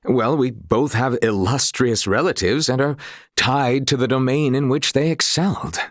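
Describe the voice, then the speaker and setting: An actor playing an earnest, courtly young sailor and swordsman of noble family in a video game, speaking in formal, old-fashioned phrasing with a husky voice. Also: mid-range voice, moderate pace, dry recording, studio quality, close to an everyday voice. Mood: candid, thoughtful.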